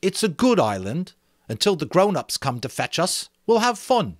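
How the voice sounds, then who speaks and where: Audiobook schoolboy leader, played by a man: cheerful, confident, pitched up, emphatic and lively. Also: moderate pace, no echo, clean studio sound. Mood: upbeat, rallying. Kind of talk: dramatic audiobook character voice.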